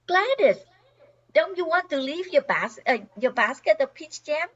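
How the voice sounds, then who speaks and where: A woman's voice: high voice, animated, measured pace. An older woman playing a confident, polite suburban wife in her thirties in an amateur radio play. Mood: polite, pointed. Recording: dull lo-fi sound.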